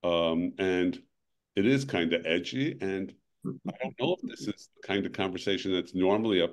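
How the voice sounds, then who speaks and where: An older man hosting a webinar over a video call, talking in a halting way that restarts phrases and sounds worn out. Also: deep voice. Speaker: webinar host. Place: home room, indoors.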